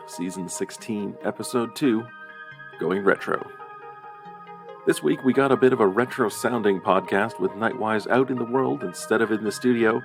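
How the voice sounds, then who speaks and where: A man reads a podcast outro in a low, creaky voice. The delivery is scripted and addressed to listeners, with music clearly playing behind him. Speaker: podcast co-host giving the outro.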